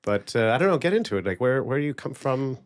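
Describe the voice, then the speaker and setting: A man's voice: medium pitch, big pitch swings, quick pace, no room sound, clean studio sound. A man hosting a podcast interview, chatty and upbeat with many fillers and restarts. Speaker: podcast host.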